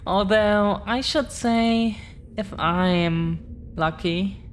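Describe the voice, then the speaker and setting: An affectionate, proud dragon-blooded girlfriend, played by a woman, speaks in an intimate audio roleplay. Her pitch is average for a woman and her delivery is extremely unhurried, teasing, with long pauses. There is clear noise in the background of the recording.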